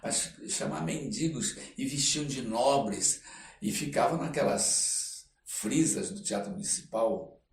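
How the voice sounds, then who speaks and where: A man's voice: medium pitch, slow pace, gravelly, far from the mic. Roomy-sounding Brazilian man telling stories, off-mic. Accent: Brazilian Portuguese. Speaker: podcast guest, stage performer. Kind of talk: conversational storytelling interview. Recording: roomy echo, off-mic, faint noise.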